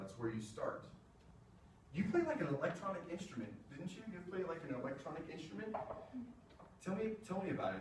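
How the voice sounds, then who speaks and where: A man talks a class through sound gear in a loose, chatty way, full of "um" and "like". His voice is mellow and relaxed. It's picked up from far off in a very echoey room, with a faint hiss underneath. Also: mid-range voice. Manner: casual, chatty explaining with fillers. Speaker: music technology presenter. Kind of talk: classroom lecture with questions. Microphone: room mic from a distance.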